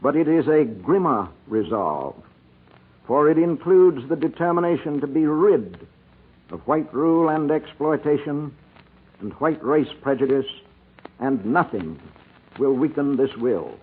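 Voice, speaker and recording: A man's voice: a little high, expressive, a little slow, slightly rough. A man gives an earnest, emphatic, formally scripted address on 1940s radio. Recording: scratchy, narrow-band 1940s radio recording.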